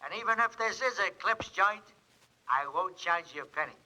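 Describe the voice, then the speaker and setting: An actor playing a chirpy, wisecracking newspaper archive clerk in 1940s radio drama. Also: male voice, high-pitched, expressive, moderate pace, sharp tone, heavily acted, low-quality recording. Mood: jokey, cheery. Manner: jaunty, wisecracking. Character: chirpy, joking newspaper morgue clerk fond of puns.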